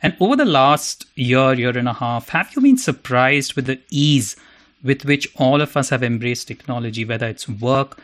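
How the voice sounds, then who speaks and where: A man's voice: medium pitch, animated, normal speed, a bit bright. A man hosting a podcast who reads his introduction in a theatrical, playful, self-mocking way and then interviews his guests. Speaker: podcast host.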